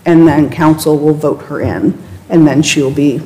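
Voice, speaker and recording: A woman's voice: sits low, steady tone, normal speed, dark tone. A woman chairing a community board meeting, casual and upbeat with many fillers, speaking into a table mic. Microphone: table microphone. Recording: echoey room with noise.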